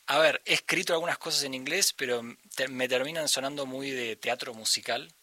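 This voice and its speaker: A man's voice: medium pitch, fairly quick, sharp tone. A young man with an Argentine accent, a musician guest chatting in an interview, thinking aloud with many fillers and restarts. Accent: Argentine Spanish. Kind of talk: casual interview conversation.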